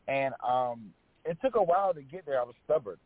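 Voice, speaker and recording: A raspy-voiced man talks through his story at an easy pace, filling gaps with ums and restarts. The sound is tinny and narrow, like a phone line, and dry, with no room around it. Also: mid-range voice, a little fast, dry recording. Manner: relaxed storytelling with many fillers. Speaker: rapper guest. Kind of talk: casual interview chat.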